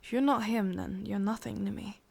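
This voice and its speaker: A woman with an English accent playing a sadistic, possessive witch in a hushed, breathy ASMR roleplay, slow and coaxing. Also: mid-range voice. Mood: cold, possessive.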